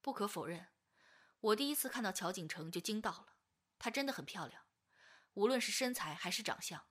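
A woman's voice: mid-range voice, expressive, a little fast, a bit bright, slightly rough. A woman acting in a Chinese audio drama as a tender, wounded young woman confessing a past love. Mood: wistful narrating.

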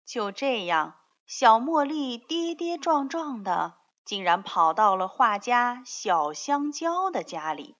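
A woman narrating a scripted fairy tale for young children in an expressive storytelling manner. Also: a little high, slow pace, hardly any pauses, highs cut off.

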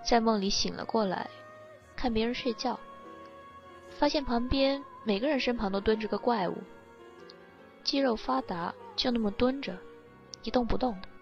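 On a slightly muffled recording with soft music behind, a woman plays a shipwrecked young woman in an audio drama. She is cool, teasing and languid, with a somewhat high voice. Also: moderate pace, pauses often, natural voice. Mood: dreamy, detached.

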